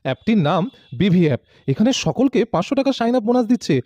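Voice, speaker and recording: Casting: man for a scripted ad read, quick and mellow, dry dull sound. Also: high voice, big pitch swings, no room sound. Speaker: sponsor message reader.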